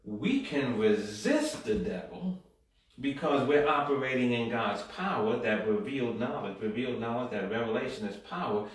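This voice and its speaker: A man's voice: medium pitch, animated, normal speed. A man preaching a sermon, reading scripture aloud and building to fervent exhortation with frequent amens and call-and-response, recorded in a church hall. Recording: very echoey room from far off.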